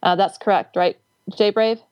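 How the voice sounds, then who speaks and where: A woman's voice: medium pitch, steady tone, normal speed, smooth, no room sound, lo-fi sound. A woman hosting an interview, talking in an effusive, rambling way with plenty of fillers and restarts. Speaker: host. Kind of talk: casual interview chat.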